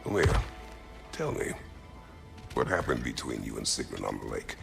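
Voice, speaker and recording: An actor playing a grim, battle-scarred warrior in a video game, gravelly, terse and stern. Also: male voice, very animated, measured pace, faint music behind, exaggerated voice. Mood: questioning. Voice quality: gravelly, rumbling. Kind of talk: dramatic video game acting.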